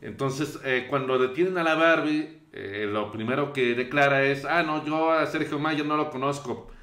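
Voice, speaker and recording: A man's voice: medium pitch, normal speed, a bit bright. A young man with a Mexican accent commenting on news clips from a small bedroom, hesitant with many fillers. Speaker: show host. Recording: roomy echo.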